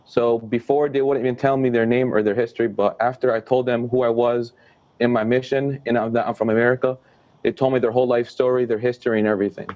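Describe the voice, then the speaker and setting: A man's voice: medium pitch, little pitch movement, fast, dull audio. A young man, a student guest, answering earnestly and repeating words as he searches for them. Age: young adult. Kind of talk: casual interview answers.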